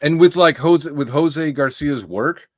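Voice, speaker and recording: A man's voice: fairly high pitch, unhurried, no echo, clean studio sound. A man with a radio voice, a comic creator guest talking easily and modestly, with some hesitation. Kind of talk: casual interview chat.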